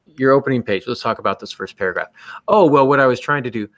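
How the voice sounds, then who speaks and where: A man's voice: mid-range voice, fast talker, a bit bright, no echo. A man co-hosting a podcast, speaking casually but emphatically and bluntly, acting out little exchanges as he gives advice. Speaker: podcast co-host and writer.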